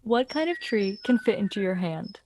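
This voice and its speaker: A woman's voice: medium pitch, lively intonation, normal speed, noisy background, close to an everyday voice. Young woman acting a wry, proud autumn leaf. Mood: jokey. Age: young adult. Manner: wry, self-assured. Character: jokey, proud outsider female autumn leaf. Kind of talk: student radio play acting. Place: small improvised home recording space.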